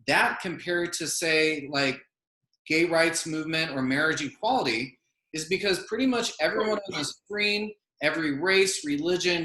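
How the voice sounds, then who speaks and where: A man moderating a panel discussion, speaking in a thoughtful, measured way and often adding "I think" and "you know.". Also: a little high, bright, forceful tone, echoey room, rough recording. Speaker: session moderator.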